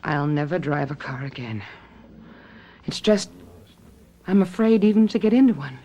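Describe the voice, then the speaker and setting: Anxious, fragile young wife. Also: female voice, low voice, expressive, moderate pace, warm tone. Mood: anxious, hesitant. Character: anxious, fragile, trusting actress wife, haunted by guilt. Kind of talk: old-time radio mystery acting.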